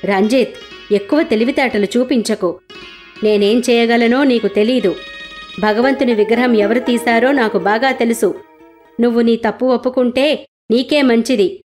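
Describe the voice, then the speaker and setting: A woman's voice: on the high side, moderate pace, a little mellow, faint music behind, lightly acted. A woman voicing a divine bull attendant disguised as a man, speaking firmly and earnestly. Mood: stern. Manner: firm, earnest.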